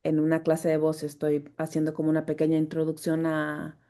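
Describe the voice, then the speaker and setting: Woman, voice teacher, low pitch, mellow tone, thoughtful, frequent restarts, video call. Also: monotone, brisk. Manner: thoughtful, searching for words, many restarts.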